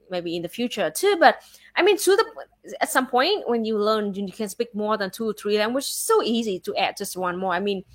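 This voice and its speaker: A woman's voice: a little high, a bit bright. A woman with a Vietnamese accent, a native Vietnamese speaker talking casually in English, filling her speech with "like" and restarting her sentences. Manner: chatty, with many filler likes and restarts. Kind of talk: casual chat.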